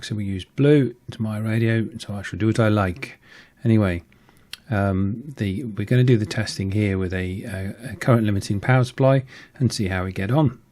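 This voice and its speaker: Low-voiced British man explaining hobby repairs. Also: moderate pace, darkish tone. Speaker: host of a radio repair channel. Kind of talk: explanatory hobbyist narration with informal hands-on commentary.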